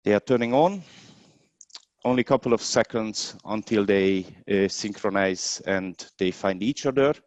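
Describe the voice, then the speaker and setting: A man who is a non-native English speaker demonstrates a product hands-on in a webinar, explaining step by step in a halting way. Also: on the low side, a little mellow, dry recording. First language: not English. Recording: dull, dry webinar video sound.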